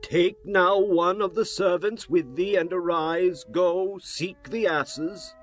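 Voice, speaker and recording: Man acting an insistent, high-pitched Israelite. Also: slow, faint music behind. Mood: commanding. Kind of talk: dramatized scripture reading.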